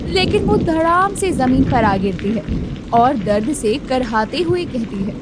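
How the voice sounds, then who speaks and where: A woman narrating a children's cartoon story in a scripted, expressive storytelling manner. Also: sits high, measured pace, heavy background noise.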